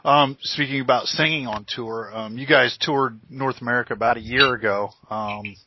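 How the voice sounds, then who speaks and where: A man in his fifties interviewing a musician, asking questions in a halting way with many fillers. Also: mid-range voice, slight background noise. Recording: dull lo-fi sound.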